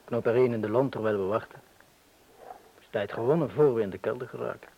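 A man's voice: mid-range voice, fast, dull audio, slight background noise, natural voice. A male actor playing a cocky, businesslike safe-blower in a radio play, terse and commanding.

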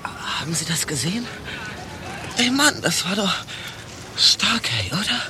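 An actor playing a panicky, foul-mouthed young city courier in a radio play, stammering and agitated. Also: male voice, sits high, very animated, a little slow. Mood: nervous, evasive. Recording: outdoor city noise, off-mic.

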